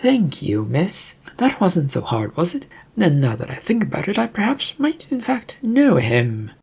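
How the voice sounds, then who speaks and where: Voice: medium pitch, wildly swooping pitch, measured pace, creaky, a little mellow, husky, voice pushed up, exaggerated voice. A performer voicing a bold, sly, slightly haughty female spellcaster in a fantasy story. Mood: sly, teasing.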